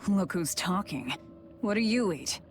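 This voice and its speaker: An actress playing a cold, guarded young female demon fighter with a curt, deadpan manner in a video game. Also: mid-range voice, a little slow, slight background noise. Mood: dismissive. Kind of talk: English video game voice acting.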